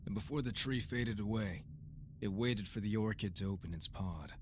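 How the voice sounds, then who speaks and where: A man playing a deep-voiced grave rebel. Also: natural voice. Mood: solemn. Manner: calm, measured, grave. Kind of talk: audio drama acting. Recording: steady background hiss.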